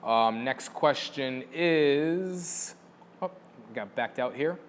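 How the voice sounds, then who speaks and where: A man's voice: mid-range voice, drawn-out words, big-room sound, a little hiss or hum. A man who trains dogs, answering viewer questions in a casual teaching style and repeating words for emphasis. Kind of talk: casual instructional Q&A.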